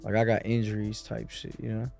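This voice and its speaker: A man's voice: fairly low pitch, monotone, fairly quick, gravelly, a little mellow. A man who grew up in Mexico City, a music producer talking as a guest in a casual sit-down interview, full of street slang and "you know" asides.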